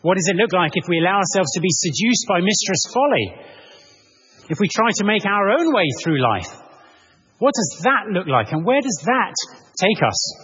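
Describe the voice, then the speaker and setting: A man preaching an expository sermon in a measured, explanatory manner, posing rhetorical questions to his listeners. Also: high-pitched, big pitch swings, a bit bright, breathy edge. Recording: muffled, reverberant large hall.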